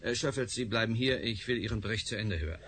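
A man's voice: on the low side, fast talker, a bit bright, slight background noise. An actor playing a senior male professor who heads a research team, curt and authoritative, in a radio drama. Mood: commanding.

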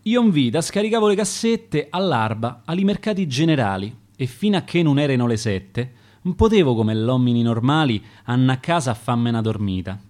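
A man's voice: medium pitch, sing-song, a little fast, darkish tone, lightly acted. A man with a Roman accent voicing a dead, weary market porter who reflects bitterly in rhymed dialect verse.

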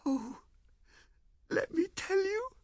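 A man voicing a timid, pleading young wife with a halting, breathy, tearful delivery in an audiobook. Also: very high voice, big pitch swings, no echo, studio quality, pitched above the performer's own voice, exaggerated voice. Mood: wailing, pleading. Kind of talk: audiobook character acting.

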